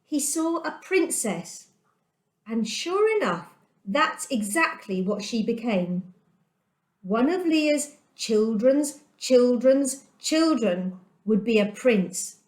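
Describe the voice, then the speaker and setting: A woman reading a children's Bible story aloud as a storyteller, with dramatic, deliberate delivery and emphatic pauses. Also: high voice, roomy sound.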